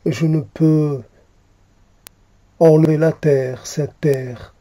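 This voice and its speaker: A man reciting poetry in a solemn, halting manner, repeating phrases as he goes. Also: a little high, steady tone, warm tone, dry recording. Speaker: poet reciting own poem.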